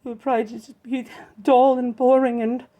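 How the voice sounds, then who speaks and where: Guy putting on a gentle young fairy tale girl, high and wistful, storybook style. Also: little pitch movement, moderate pace. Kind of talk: children's storybook character voice.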